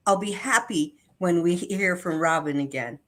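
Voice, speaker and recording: A woman's voice: on the low side, moderate pace, fairly bright tone. A woman chatting casually as a guest reader at a spiritual service. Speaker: affirmation reader.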